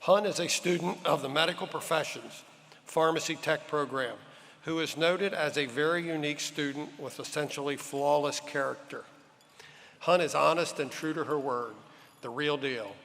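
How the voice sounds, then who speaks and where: A man's voice: on the high side, moderate pace, gravelly. A man formally introducing a student speaker at a graduation ceremony. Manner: formal, even announcing. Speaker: ceremony announcer. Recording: echoey hall with long reverb.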